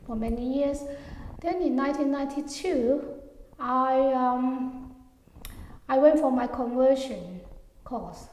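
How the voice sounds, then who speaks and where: Middle-aged woman from Hong Kong, oral history interviewee, fairly high, hesitant with fillers, echoey room with long reverb. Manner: hesitant, many fillers, soft trailing endings.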